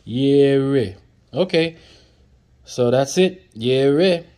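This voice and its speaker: A man's voice: mid-range voice, animated, extremely slow, darkish tone. A man, chatting through flashcards as he teaches words, repeating each one and joking with viewers. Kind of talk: casual flashcard lesson. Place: indoors at a table, off camera.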